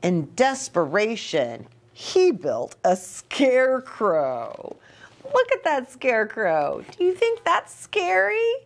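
Slow-paced woman with a lively, swooping voice. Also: a little high, creaky. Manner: animated, asking the young listeners questions. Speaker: children's ministry storytime host. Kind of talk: picture-book read-aloud for young children with chatty asides. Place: small indoor reading nook with bookshelf and curtains.

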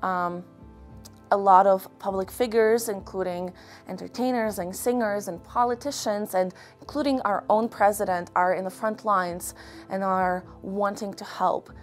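A young woman from Ukraine answering interview questions earnestly, choosing her words with measured, hesitant pauses. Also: medium pitch, fairly even intonation. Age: young adult. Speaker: interview guest. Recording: music behind the voice. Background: background music.

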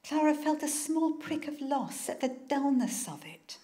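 A woman's voice: high voice, unhurried. A woman in her sixties, an author giving a keynote, reading expressively from her novels at a lectern microphone in a lecture hall. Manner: expressive reading, lively narration.

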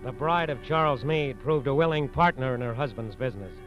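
An actor narrating a 1940s American radio crime drama as an earnest, authoritative narrator with formal, emphatic delivery. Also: male voice, mid-range voice, a little fast, close to an everyday voice. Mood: grave, authoritative. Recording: muffled old radio recording. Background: dramatic music.

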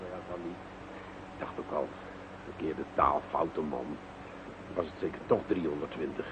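An actor playing a pompous, bumbling, self-satisfied police commissioner in a radio play, muttering and blustering self-importantly. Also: male voice, very deep voice, steady tone, moderate pace. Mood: grumbling. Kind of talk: radio drama acting. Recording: muffled, noisy old radio recording.

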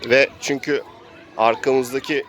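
A man's voice: mid-range voice, steady tone, unhurried, a bit bright. A man acting as game master, narrating an improvised role-playing adventure in a dramatic play-by-play storytelling manner. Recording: faint street noise and background voices.

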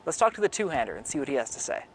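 A man's voice: mid-range voice, sing-song, racing, heavy background noise. A young man in a bowling alley methodically explaining each ball in his lineup, speaking through a lapel mic. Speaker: pro shop bowler presenting his arsenal. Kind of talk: scripted equipment walkthrough.

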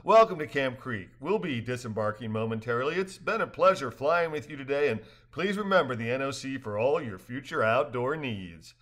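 A man's voice: mid-range voice, lively intonation, normal speed, hardly any pauses, fairly bright tone. A man voicing a friendly young male river guide in a story reading, speaking cheerfully. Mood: mock-formal. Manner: cheerful, smiling delivery.

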